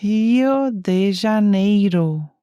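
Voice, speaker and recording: A woman giving careful, deliberate model pronunciations for learners, repeating each word. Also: mid-range voice, expressive, mellow, smooth, no room sound, lo-fi sound. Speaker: native-speaker example voice.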